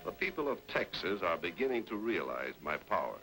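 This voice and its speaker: An actor playing a power-hungry, domineering colonel in an old western serial, pompous and commanding. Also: male voice, low-pitched, very animated, a little fast, pushed and bright, soft background music, full character voice, low-quality recording. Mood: pompous, menacing.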